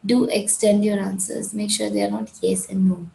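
A woman's voice: mid-range voice, fairly even intonation, moderate pace, creaky, dark tone, echoey room, slight background noise, low-quality recording. A woman from India with a Gujarati English accent, teaching an IELTS speaking lesson in a firm, direct way that repeats key points. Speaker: IELTS tutor. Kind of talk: instructional talk.

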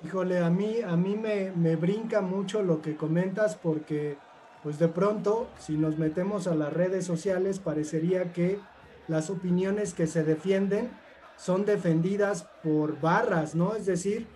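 Mexican man, high but mellow voice, thoughtful halting panel talk. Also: slight background noise. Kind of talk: casual panel discussion, anecdotal.

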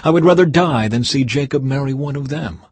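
A man voicing a worried mother who urges her son to flee, in his own husky reading voice. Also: medium pitch, a little fast, soft background music, pitched above the performer's own voice. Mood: urgent.

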